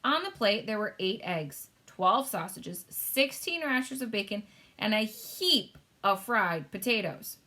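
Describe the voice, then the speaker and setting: A woman narrating a children's story, reading aloud in an expressive storytelling style. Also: mid-range voice, a little slow.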